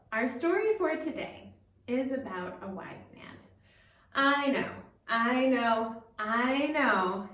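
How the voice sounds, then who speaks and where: A woman's voice: a little high, fairly bright tone. A woman pastor telling a story to children, explaining things simply and addressing them directly, recorded with a roomy echo from a distant microphone.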